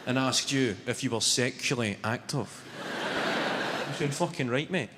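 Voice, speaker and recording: A man in his twenties with a Glaswegian Scottish accent, telling deadpan stories in a stand-up set, swearing freely. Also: medium pitch, moderate pace, long reverb tail, heavy background noise. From Scotland. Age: 20s. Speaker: stand-up comedian. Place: large arena on stage. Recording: live arena with loud crowd. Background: audience laughter.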